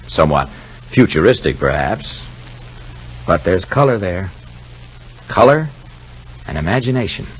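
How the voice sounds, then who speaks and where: A man announces and narrates in the manner of a 1950s radio soap. His low, husky voice swings widely in pitch, with deliberate and dramatic emphasis. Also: big pitch swings, lo-fi sound.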